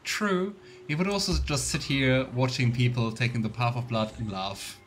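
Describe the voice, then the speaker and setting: A man's voice: medium pitch, normal speed. A man giving casual, musing let's play commentary on a role-playing game, with a wry, sardonic manner. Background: game music.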